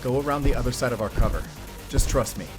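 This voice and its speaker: A man's voice: mid-range voice, expressive, quick pace, natural voice. An actor playing an earnest, protective young man in a cinematic action audio drama, emotional and urgent. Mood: urgent, frightened. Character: earnest, protective young man fighting beside his injured lover. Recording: dramatic music behind the voice.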